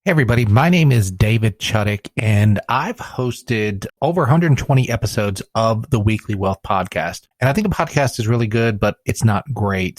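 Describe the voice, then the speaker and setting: A man's voice: fairly low pitch, no room sound. A plain-spoken man giving an informal testimonial as a podcaster, repeating words for emphasis.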